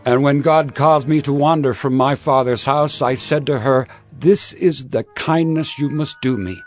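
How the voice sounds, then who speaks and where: A man's voice: medium pitch, unhurried, faint music behind, close to an everyday voice. A man playing an earnest, somewhat defensive patriarch in a dramatized scripture reading. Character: Abraham, earnest patriarch explaining himself.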